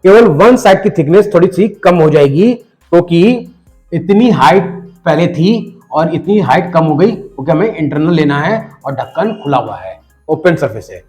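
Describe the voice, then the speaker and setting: A man with a hoarse voice from a cold, patiently explaining a math lesson step by step and talking straight to the viewer. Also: on the high side, animated, a little mellow, faint music behind. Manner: patient, addresses the viewer directly, repeats numbers slowly. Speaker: math teacher.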